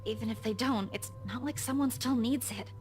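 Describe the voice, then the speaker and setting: An actress playing a vulnerable, grieving young woman who speaks haltingly in a visual novel. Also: on the high side, close to an everyday voice. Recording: music behind the voice.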